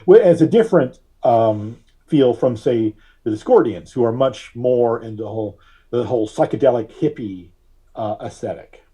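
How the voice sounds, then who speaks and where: Male interview guest. Dark, slightly raspy voice, mid pitch, conversational and searching for words. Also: expressive. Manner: halting, searching for words, thoughtful. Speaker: podcast guest, artist and reverend.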